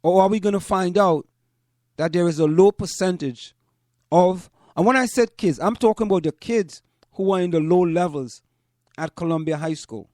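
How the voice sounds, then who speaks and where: A man from Trinidad and Tobago, speaking as a show host in deliberate commentary and repeating phrases for emphasis. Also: high voice, darkish tone, dry recording, studio quality. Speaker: host of a community parents' talk show. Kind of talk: spoken commentary to listeners.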